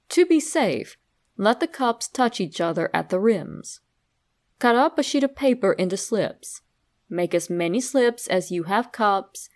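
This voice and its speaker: Female narrator for horror fiction readings, medium pitch, very unhurried and deliberate, instructional storytelling delivery. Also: a little mellow.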